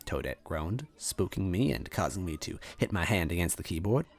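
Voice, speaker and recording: A man's voice: deep voice, big pitch swings, moderate pace. A man narrating a story aloud in the first person. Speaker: story reader.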